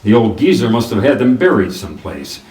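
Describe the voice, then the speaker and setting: An actor playing a wry, hardboiled private detective, narrating a noir radio drama in a clipped way. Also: male voice, fairly low pitch, sing-song, brisk, a little mellow. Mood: wry, brisk. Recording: roomy, distant mic, faint noise.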